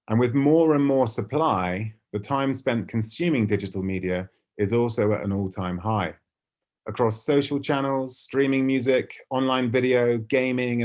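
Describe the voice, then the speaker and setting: Low-pitched British man presenting. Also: darkish tone. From United Kingdom. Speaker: conference speaker, ad tech company representative. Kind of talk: prepared business webinar presentation.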